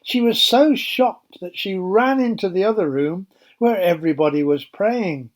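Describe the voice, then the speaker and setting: A man narrating a Bible story for children as a storyteller, in a simple, measured, explanatory way. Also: sits high, lively intonation.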